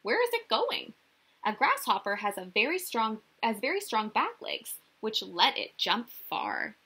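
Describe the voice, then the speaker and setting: A young woman reads a children's picture book aloud as a teacher would. Her voice swoops expressively in a sing-song way, with a bright, forward tone and a slightly breathy, husky edge. She speaks directly to young listeners, inviting them to answer. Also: fairly high pitch, sing-song, a little slow. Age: young adult. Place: small indoor room.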